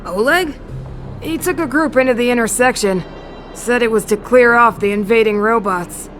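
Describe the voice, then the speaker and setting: A woman's voice: on the high side, normal speed, lightly acted. A woman voicing an earnest, somewhat hesitant young female miner in a video game. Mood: relieved, worried. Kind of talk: anime-style video game voice acting. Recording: loud game music underneath. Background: game cutscene music.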